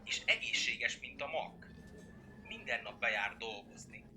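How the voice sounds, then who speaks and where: A man voicing a worried young friend in a fan dub, blunt and sweary, talking in urgent, hushed bursts. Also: medium pitch, a little hiss or hum. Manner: urgent, hushed, swings into loud agitation.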